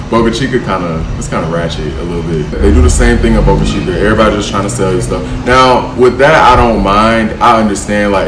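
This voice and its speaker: A young American man vlogging in a loose, slangy way, often saying bro and like. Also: low voice. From United States. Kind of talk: casual vlog chat. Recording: roomy, distant, air-conditioner hum.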